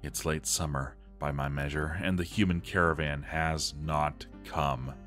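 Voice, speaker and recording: Man acting a deep-voiced despairing dwarf. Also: fairly even intonation, fairly bright tone, faint music behind. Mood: bleak despair. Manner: grave, slow, theatrical. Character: despairing male dwarf armorer journaling, slipping into madness. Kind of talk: dramatic journal reading.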